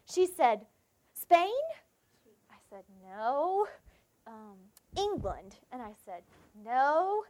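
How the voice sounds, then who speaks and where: A woman's voice: sits high, very animated, very slow, pauses often, a little mellow. A young woman from South Carolina with a Southern accent, giving a devotional sermon and telling a personal story, acting out the dialogue in it. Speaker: preacher.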